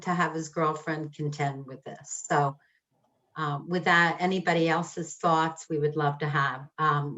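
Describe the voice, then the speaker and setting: Slow, low-voiced woman moderating. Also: a bit bright. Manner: slow, hesitant with many fillers. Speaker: discussion moderator. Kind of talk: group discussion on a video call. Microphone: video call. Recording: dull lo-fi call audio.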